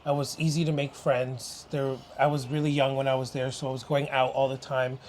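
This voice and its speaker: A man's voice: medium pitch, little pitch movement, fairly quick, a little mellow, a little hiss or hum. A young man from Canada, an interview guest talking casually and openly, laughing easily. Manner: open and chatty, laughs easily. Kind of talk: casual chat.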